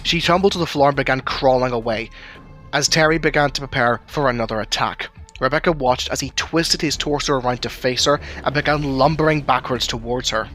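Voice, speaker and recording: A man's voice: medium pitch, fairly quick, a bit bright. A man reading scripted third-person narration for an audio drama. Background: music.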